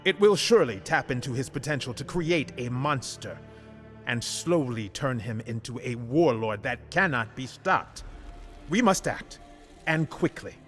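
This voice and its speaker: An actor playing a grave commander of dragon hunters in a fantasy game, speaking formally and solemnly in measured phrases. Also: male voice, mid-range voice, lively intonation, fairly bright tone, faint music behind. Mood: grave, resolute. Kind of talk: scripted fantasy game cutscene acting.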